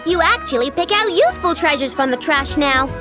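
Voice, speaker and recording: A woman voicing an excitable, cheeky young girl in a video game, with a girlish voice. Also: high voice, fairly quick. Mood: teasing. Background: music.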